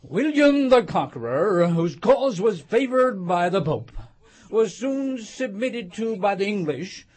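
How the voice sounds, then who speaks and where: A woman voicing a pompous, self-important mouse in a storybook, lecturing in a stuffy, grand manner with a husky voice. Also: medium pitch, very animated, a little mellow, full character voice. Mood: pompous, droning. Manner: stuffy, grand lecturing with throat-clearing and pauses.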